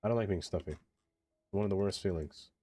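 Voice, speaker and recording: A man streaming a game in casual livestream chat, with a stuffed-up nose, chatty and excitable as he greets viewers by name. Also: sits low, brisk.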